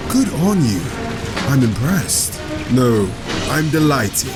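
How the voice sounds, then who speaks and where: A man's voice: medium pitch, big pitch swings, slow. A man playing a gleeful, sadistic supervillain who taunts theatrically and mockingly in a comic book dub. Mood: gleeful taunting. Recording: dramatic music behind voice.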